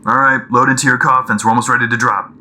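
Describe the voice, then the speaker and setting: A man's voice: mid-range voice, steady tone, quick pace, sharp tone, a little hiss or hum. A man playing a determined, questioning soldier who leads a squad of shock troopers in a sci-fi audio drama. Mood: focused.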